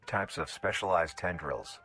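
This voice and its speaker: A man's voice: deep voice, lively intonation, a little slow, gravelly, pushed and bright, soft background music. A man reading scripted documentary-style narration for a horror video in a formal, clinical way.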